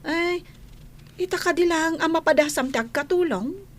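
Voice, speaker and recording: Woman acting a high-pitched, gossipy housemaid. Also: moderate pace. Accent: Filipino. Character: chatty, gossipy older-sister housemaid. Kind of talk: radio comedy drama acting.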